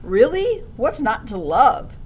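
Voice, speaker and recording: A woman's voice: sing-song, slow. A woman voicing an upbeat, encouraging young girl in a storybook. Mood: delighted. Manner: bright, emphatic. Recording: low rumbling background noise.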